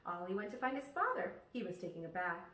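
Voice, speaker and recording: A woman teacher reading a children's story aloud expressively, pausing to ask her listeners questions. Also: mid-range voice, big pitch swings, fairly bright tone. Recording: echoey room, distant microphone.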